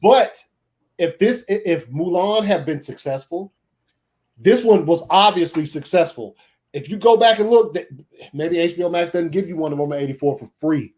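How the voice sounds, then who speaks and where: A man's voice: on the high side, normal speed, darkish tone. A man chatting casually on a streamed panel, emphatic, slangy and freely swearing.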